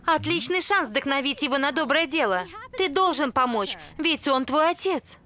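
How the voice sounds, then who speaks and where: A woman's voice: sits high, animated, normal speed, sharp tone. An actress voicing an earnest, indignant young female angel in a dubbed cartoon. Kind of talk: animated series dubbing.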